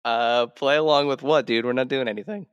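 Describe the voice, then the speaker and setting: A man's voice: medium pitch, steady tone, brisk, clear, resonant tone, no room sound, lo-fi sound. A man speaking casually as a podcast host. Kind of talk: casual chat.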